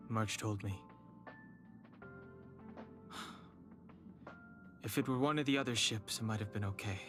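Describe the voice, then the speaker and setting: A man playing a reserved, brooding young man in an anime-style video game, measured and understated. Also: on the low side, music in the background, close to an everyday voice. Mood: guarded, resigned.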